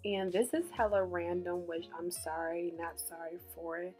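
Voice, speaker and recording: A woman's voice: lowish voice, moderate pace. Dry-voiced young woman, casual tutorial. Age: 20s. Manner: monotone, deadpan delivery. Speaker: beauty vlogger and hair brand owner. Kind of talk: casual hair tutorial chat. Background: background music.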